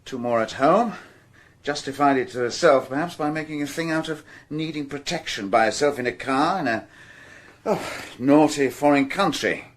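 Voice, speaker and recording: An actor with a British accent playing a dogged, exasperated French police detective in a radio drama, his voice slightly husky. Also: fairly high pitch, moderate pace, close to an everyday voice. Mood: weary, explaining. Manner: hesitant musing breaking into indignant outbursts.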